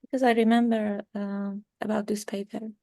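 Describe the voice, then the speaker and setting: A woman's voice: medium pitch, level tone, normal speed, warm tone, no echo, clean studio sound. Young woman moderating a video seminar. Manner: hesitant, many fillers. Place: home room. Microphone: video call.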